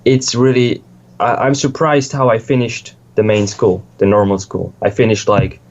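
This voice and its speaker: A young man from Switzerland talks through his past in a loose, rambling way, restarting sentences and swearing casually. His voice sits on the low side. The sound is dull and lo-fi. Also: level tone, normal speed, a little mellow. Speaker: music producer, guest. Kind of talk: casual interview chat.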